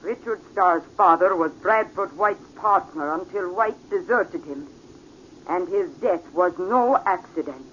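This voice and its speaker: A woman's voice: lowish voice, fairly bright tone. An actress playing a worldly, passionate Italian woman with an Italian accent, speaking formally and theatrically in a vintage radio drama. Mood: bitter, pleading. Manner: theatrical, deliberate, formal foreign phrasing. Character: worldly Italian woman, mature, passionate, secretly protective mother. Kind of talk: 1940s radio drama acting. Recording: muffled, noisy vintage radio recording.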